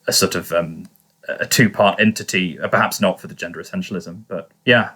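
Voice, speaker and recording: A man with a fairly low but very bright voice talks as a podcast co-host. He chats informally, speculating hesitantly and qualifying his points as he goes. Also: fairly even intonation, fairly quick, breathy edge. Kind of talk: casual discussion.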